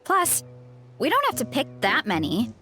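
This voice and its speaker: A woman's voice: a little high, huge pitch swings, moderate pace, over music. A woman voicing a sweet, modest, self-doubting young fighter from a family orchard in a video game. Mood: cheerful. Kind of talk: video game character acting.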